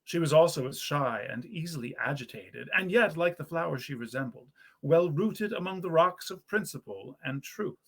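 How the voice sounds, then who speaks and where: Man reading a story, slightly high voice. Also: expressive, normal speed, few pauses, a bit bright, husky. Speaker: actor reading a story aloud. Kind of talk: theatrical storytelling of 19th-century literature. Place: period parlor in a historic house.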